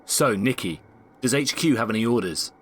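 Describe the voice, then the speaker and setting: Eager, chummy space agent. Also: male voice, medium pitch, lively intonation, moderate pace, fairly bright tone, breathy edge. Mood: brisk, businesslike. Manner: enthusiastic, chatty. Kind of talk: comic sci-fi audio drama acting.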